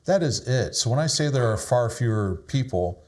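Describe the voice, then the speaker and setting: A man's voice: medium pitch, expressive, moderate pace. A middle-aged man casually talking through his photos, modest and rambling, laughing often. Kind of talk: casual chat.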